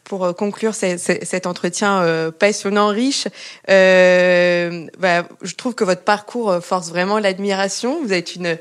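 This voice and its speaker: A woman's voice: fairly low pitch, fairly even intonation, unhurried, smooth. A woman hosting a podcast interview, asking questions with hesitations and restarts. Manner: hesitant, many fillers and restarts. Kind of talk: conversational interview.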